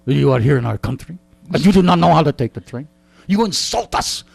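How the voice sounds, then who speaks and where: A man's voice: on the high side, animated, brisk, gravelly, darkish tone, breathy edge, no echo, full character voice. A man playing a haughty Parisian local, easily insulted, in a told anecdote. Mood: indignant.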